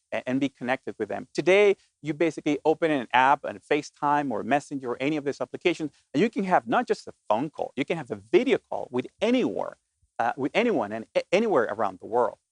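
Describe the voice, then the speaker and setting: A man's voice: medium pitch, big pitch swings, quick pace, gravelly, dry recording. A middle-aged man from Colombia, giving an earnest business keynote with frequent restarts. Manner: earnest, many restarts and filler words. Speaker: conference speaker.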